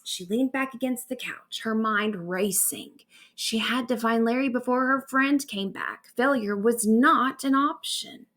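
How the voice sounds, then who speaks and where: Slow, higher-voiced woman reading a bedtime story. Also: a bit bright. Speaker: author reading own stories aloud.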